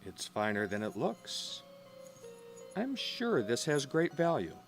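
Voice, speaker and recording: A man's voice: mid-range voice, huge pitch swings, slow, over music, heavily acted. Man acting a swooping, theatrical sly trader. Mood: eager, sly. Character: shrewd, eager male trader in secrets. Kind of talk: audio drama acting.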